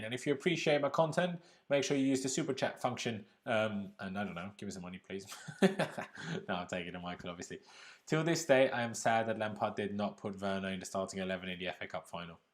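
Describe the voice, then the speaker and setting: A young man from Austria hosting a live football reaction stream, chatting casually, reading viewer comments and laughing often. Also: mid-range voice. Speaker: livestream host reacting to a football draw.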